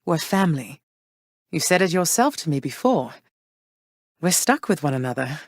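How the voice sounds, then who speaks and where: An actress voicing a gentle, regal queen who speaks to her son in a warm and measured way. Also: sits low, very animated, no room sound. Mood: soft, affectionate. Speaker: game character. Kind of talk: studio game voice lines. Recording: dry studio recording.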